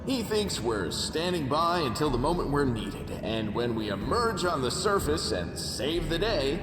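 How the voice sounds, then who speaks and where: A man's voice: fairly high pitch, very animated, unhurried. An actor playing a wry, self-deprecating mongrel man from underground in a video game, with a sardonic, conversational manner. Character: wry, self-deprecating male mongrel cave-dweller with horns. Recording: echoey hall, music behind.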